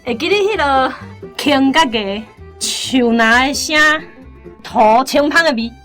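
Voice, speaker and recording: A woman's voice: sits high, lively intonation, slow pace, fairly bright tone, faint music behind. A woman, a student casually working out a quiz answer. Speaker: student contestant.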